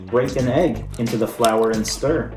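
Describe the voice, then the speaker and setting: A calm, patient mother, as a man reads her. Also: mid-range voice, a little slow, natural voice. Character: patient country mother cooking breakfast. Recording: roomy room with background music.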